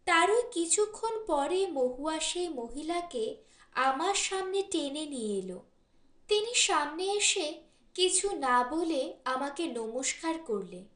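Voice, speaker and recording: A woman reads a literary short story as an audiobook narrator. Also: high voice. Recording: roomy, echoing voice recording.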